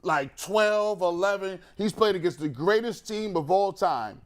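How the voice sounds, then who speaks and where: A man's voice: high voice, a little slow. An American man on a sports panel, chatting casually and often repeating words as he starts a thought. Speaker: sports talk show panelist. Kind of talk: casual chat.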